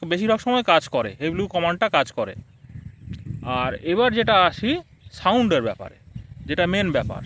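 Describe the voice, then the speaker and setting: A man's voice: high-pitched, fairly bright tone, a little hiss or hum. A man giving a casual, explanatory product review, speaking conversationally to his viewers.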